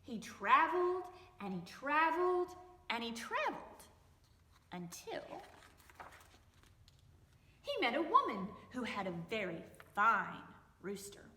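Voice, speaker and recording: Woman storyteller for young children: bright, unhurried, theatrical delivery, echoey room. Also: fairly high pitch, big pitch swings. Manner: theatrical, stretches words for suspense. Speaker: library storytime host and storyteller. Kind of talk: expressive children's story read-aloud.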